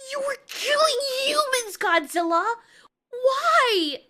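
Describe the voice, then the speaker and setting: A woman's voice: sits high, animated, measured pace, a bit bright. A woman voicing a distressed female giant moth monster in an audio drama, exclamatory, stammering and incredulous. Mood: outraged.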